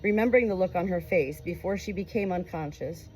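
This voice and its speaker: A woman narrating a memoir aloud in an expressive, emotive way. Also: fairly low pitch, brisk, rough recording. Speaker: audiobook reader.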